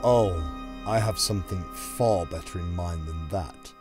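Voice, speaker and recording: An actor playing a haughty, scheming president, an imperious villain in an audio drama with measured delivery. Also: male voice, deep voice. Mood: cold, scheming. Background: dramatic music.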